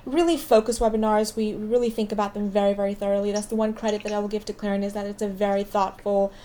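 A woman's voice: medium pitch, level tone, quick pace, mellow, slight background noise. A woman hosting an interview, asking long, rambling questions. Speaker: interviewer, host.

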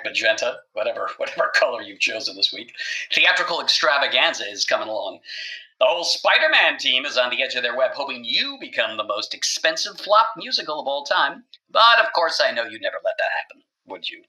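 A man's voice: medium pitch, sharp tone, rough recording. A man voicing a snide, gloating theatre columnist leaving an arch, mocking phone message in an audio comedy.

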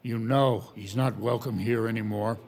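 An actor playing a curt, impatient poker player with a gruff manner in an audio drama. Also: male voice, fairly low pitch, steady tone, moderate pace, a little mellow, natural voice. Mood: irritated.

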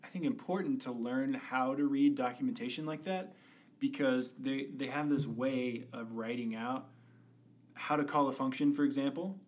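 A man's voice: medium pitch, fairly even intonation, normal speed. A man co-hosting a podcast who patiently explains coding documentation step by step in casual chat. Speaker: podcast co-host, experienced developer.

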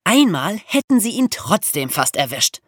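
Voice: medium pitch, very animated, moderate pace, fairly bright tone, dry recording, studio quality. A podcast host telling true crime stories for young listeners in an amused, colloquial way.

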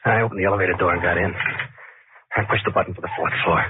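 Nervy murderer-narrator played by a man for vintage radio suspense; rapid, chatty, obsessive delivery on a tinny, faintly hissy 1940s recording. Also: low-pitched, fairly even intonation, natural voice. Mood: confiding, wry. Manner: confiding, rambling, conversational. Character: nervous, obsessive man confessing as a killer.

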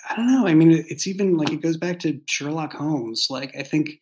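Thoughtful man, halting as he talks. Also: fairly high pitch, darkish tone, rough recording. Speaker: writer and creator of an audio drama. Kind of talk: casual behind-the-scenes discussion.

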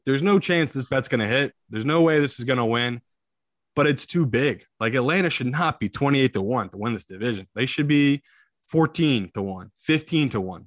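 Male betting analyst and co-host. Medium pitch, blunt, opinionated, repeats for emphasis. Dry, close recording. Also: dry recording. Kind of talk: casual sports betting chat.

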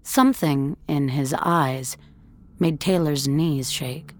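A woman narrating scripted suspense fiction in a measured, dramatic storytelling manner. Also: sits low, a little hiss or hum.

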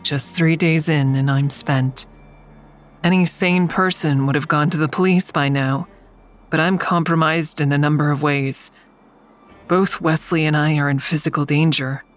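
A woman's voice: deep voice, steady tone, measured pace. An actress in an audio drama playing an exhausted, defiant woman who tells of her ordeal in a grim, blunt way. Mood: tense, bleak. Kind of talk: first-person dramatic narration in audio drama.

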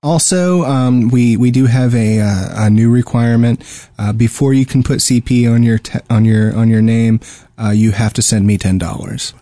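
A man's voice: medium pitch, normal speed, mellow. A man with a mid-range voice speaks with no room echo at all. He co-hosts a casual gaming podcast in an informal, somewhat hesitant way. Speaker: podcast co-host.

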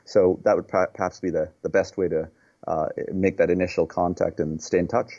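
Nepal-born guy, low dark husky voice, lots of uh and restarts, kinda muffled lo-fi sound. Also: fairly even intonation, a little fast. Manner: earnest, repeats words and fills with "uh". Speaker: guest, healthcare executive. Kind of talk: podcast interview answers.

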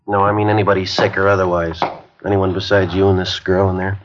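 An actor playing a methodical police detective in a radio crime drama, questioning in clipped, flat sentences and narrating the case. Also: male voice, deep voice, quick pace, a little hiss or hum, lightly acted. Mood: terse. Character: curt, methodical male police sergeant. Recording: dull lo-fi 1950s radio recording.

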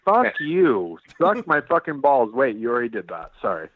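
A man's voice: medium pitch, wildly swooping pitch, moderate pace. A man co-hosting a podcast in casual crude banter, profane and mock-combative as he heckles. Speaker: podcast co-host. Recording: slightly dull, dry connection.

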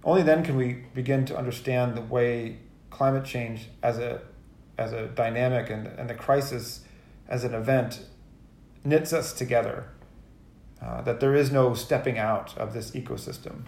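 A man giving a reflective, earnest interview answer as a guest, halting and repeating words as he goes. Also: mid-range voice, steady tone, creaky, a little mellow. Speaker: guest poet and editor. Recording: roomy, echoey sound.

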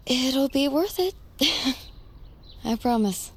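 A woman's voice: high voice, lively intonation, slow, slightly rough, exaggerated voice. An actress playing a polite, reassuring woman investigator, speaking in a natural, everyday way in an audio drama. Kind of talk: naturalistic audio fiction acting.

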